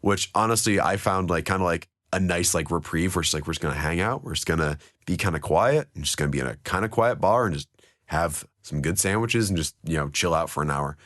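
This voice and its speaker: A man co-hosting a podcast, telling laid-back, wry stories in a slightly hoarse voice. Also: sits low, fairly quick, a bit bright, dry recording, studio quality. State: hoarse from singing. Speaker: podcast co-host. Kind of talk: casual chat.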